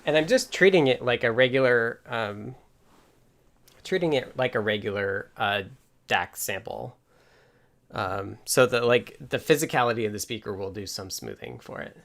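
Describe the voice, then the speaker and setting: A man casually talking through live coding and electronics as a stream host, halting as he thinks aloud. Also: medium pitch, gravelly, fairly bright tone. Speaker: livestream host and software developer.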